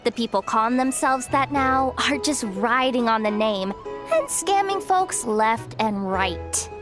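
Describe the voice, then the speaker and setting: An actress playing a blunt, world-weary young female guide in a fantasy game, curt, streetwise and deadpan. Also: fairly high pitch, unhurried, music in the background. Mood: blunt, dismissive. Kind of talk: game voice acting.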